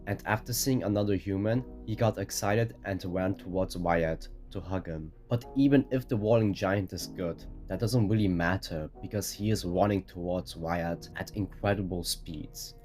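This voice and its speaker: Low-voiced man narrating over music. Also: darkish tone. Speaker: narrator of a ranking video. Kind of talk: scripted explainer narration. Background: background music.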